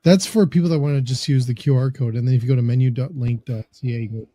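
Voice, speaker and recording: A man's voice: mid-range voice, steady tone, fast, warm tone, no echo, clean studio sound. A man co-hosting a podcast, joking and bantering playfully. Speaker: podcast co-host. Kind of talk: casual podcast interview.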